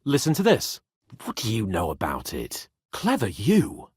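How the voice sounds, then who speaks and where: An actor playing a proud, hot-headed young nobleman in a video game, with a theatrical, sharp-tongued manner. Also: on the low side, wildly swooping pitch, unhurried, breathy edge, no room sound, clean studio sound, exaggerated voice. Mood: smug, challenging. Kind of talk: dramatic video game voice acting.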